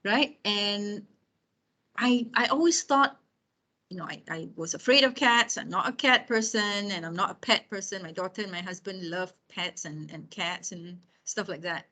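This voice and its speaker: A woman's voice: medium pitch, moderate pace, sharp tone. A woman in her fifties giving an informal lecture with personal anecdotes, talking conversationally and often checking in with her listeners. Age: 50s.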